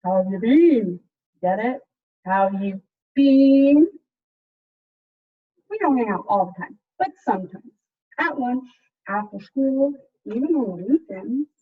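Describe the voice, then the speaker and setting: A woman teacher reading a storybook aloud to students in an animated, chatty way, with casual asides. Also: on the high side, slow pace, pauses often, slightly rough, narrow, tinny audio. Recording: distant mic, thin band-limited sound.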